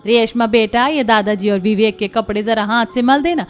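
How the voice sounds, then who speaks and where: A woman's voice: on the high side, moderate pace, lightly acted. A woman playing a nagging, thrifty middle-aged mother-in-law in a cartoon story, sweetly bossy as she gives instructions. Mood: instructing. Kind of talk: Hindi cartoon story dialogue.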